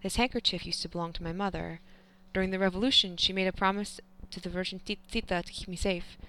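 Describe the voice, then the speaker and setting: A woman's voice: medium pitch, fairly even intonation, fast talker, sharp tone, rough recording. A woman voicing a teasing, doting elderly grandfather in an audiobook, coaxing and playful. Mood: proud. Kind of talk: audiobook character reading.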